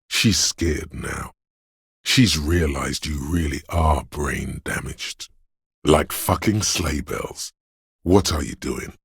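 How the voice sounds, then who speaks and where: A man voicing a menacing, paranoid inner voice of fear and aggression in a video game, gravelly and husky, ominous and deliberate. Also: very deep voice, dry recording, studio quality, exaggerated voice. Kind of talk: scripted game voice acting, second-person narration.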